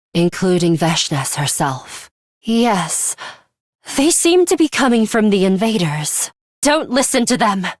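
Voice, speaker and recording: A woman acts out an aloof young female fighter from a video game, morbid and bloodthirsty beneath a composed surface. She speaks formally and without hurry, at a middling pitch, with deadpan disdain. The sound is dry and free of any room. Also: no echo, lightly acted. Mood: stern, disdainful. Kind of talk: English video game voice acting.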